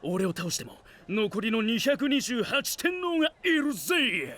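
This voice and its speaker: Brash street rapper for anime drama: sharp bright tone, animated swings, cocky rhythmic swagger. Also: male voice, high-pitched, a little slow, heavily acted. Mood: grudging bravado. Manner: rhythmic rap slang, beatboxing, swaggering. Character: brash, cocky young street rapper, self-proclaimed weakest minion.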